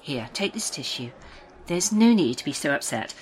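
Coolly patronising female official, expressive. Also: low voice. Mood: falsely soothing. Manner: patronising, measured, falsely soothing. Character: coolly condescending official woman running orientation interviews. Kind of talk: audio drama dialogue.

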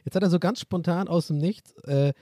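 A man's voice: on the high side, brisk, mellow, dry recording, clean studio sound. A man co-hosting a casual podcast, joking and rambling with frequent laughter. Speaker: podcast co-host. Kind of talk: casual chat.

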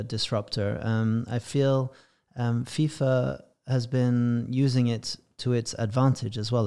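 A man's voice: medium pitch, creaky, dark tone. English man hosting a podcast interview. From England.

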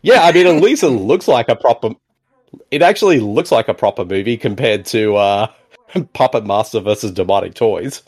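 Male movie podcast host, very animated delivery, laughs easily, repeats "exactly.". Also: on the low side, normal speed, slightly rough. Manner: lively, laughs often, repeats "exactly" and "indeed". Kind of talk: casual movie commentary.

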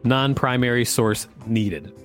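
A man's voice: medium pitch, slow pace, fairly bright tone, faint music behind. A man co-hosting a podcast, reading an article aloud with informal, joking banter and the odd stumble. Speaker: podcast co-host.

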